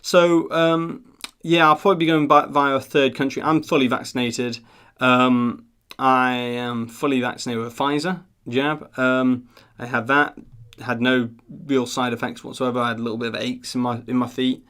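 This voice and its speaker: A man's voice: mid-range voice, level tone, normal speed, a bit bright, smooth. A man hosting a live stream, chatting casually in an earnest, rambling, self-deprecating way. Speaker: live stream host of a theme park news channel.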